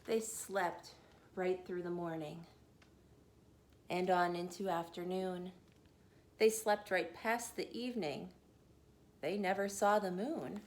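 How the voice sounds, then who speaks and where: A mother reading a rhyming picture book aloud for children, gentle and a little tired, in a steady storytelling cadence. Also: on the low side, slow, long pauses, slight background noise.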